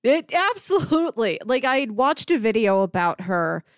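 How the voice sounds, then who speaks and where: A woman's voice: fairly high pitch, sing-song, moderate pace, no room sound, clean studio sound. A woman co-hosting a podcast in casual, conversational style, reacting to her co-host.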